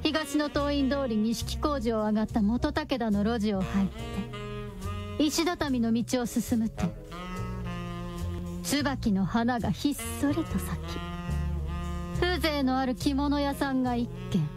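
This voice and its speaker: A woman narrator with a fairly high voice tells a scripted radio drama in a dramatic storytelling style. Music plays clearly behind her. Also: measured pace.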